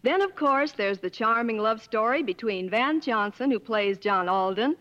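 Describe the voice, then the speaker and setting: A woman commentator on a 1950s radio show. She delivers scripted promotional chat with polish and gush, in a fairly high voice, on a dull old broadcast. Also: expressive, normal speed, fairly bright tone. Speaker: guest Hollywood commentator.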